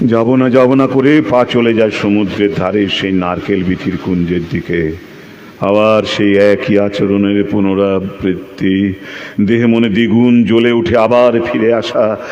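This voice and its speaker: A man acting a tormented, lovelorn character in an audio drama, agitated and halting as he repeats his words. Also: lowish voice, fairly even intonation, a little mellow, muffled recording, slight background noise. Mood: anguished, pleading.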